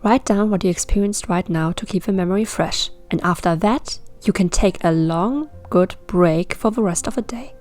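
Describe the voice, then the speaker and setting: A woman's voice: medium pitch, normal speed, hardly any pauses, darkish tone, faint music behind, lightly acted. A woman voicing a stoic, protective male immortal guardian with a terse, matter-of-fact delivery in a fantasy story. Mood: gently firm.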